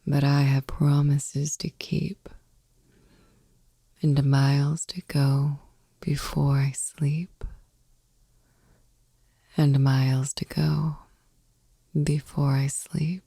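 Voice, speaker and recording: Whispering, breathy woman, very slow. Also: low-pitched, little pitch movement, dry recording. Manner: soft, slow, soothing, measured. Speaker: podcast host reading poetry. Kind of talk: ASMR poetry reading for sleep. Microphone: close microphone.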